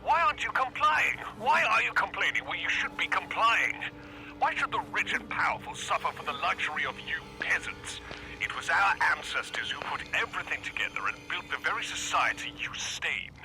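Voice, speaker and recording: An actor playing a smug, callous, ultra-rich tycoon who speaks in a pompous, condescending, theatrical way, as if interviewed on satirical radio. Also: male voice, high-pitched, animated, fairly quick, vocal fry, low-quality recording. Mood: indignant, scornful. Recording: music behind the voice.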